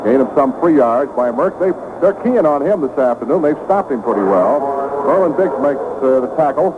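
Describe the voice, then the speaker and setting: A man calling live football play-by-play for radio, brisk and climbing in pitch when a big play breaks. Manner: brisk, rising excitement on big plays. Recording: tinny old radio broadcast with hiss.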